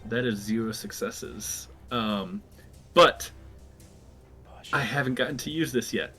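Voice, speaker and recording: A man's voice: medium pitch, takes it slowly. Male tabletop player, average register, casual delivery, light music in the background. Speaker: player in a tabletop roleplaying game. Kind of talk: casual tabletop game talk. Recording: faint music under the voice. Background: soft background music.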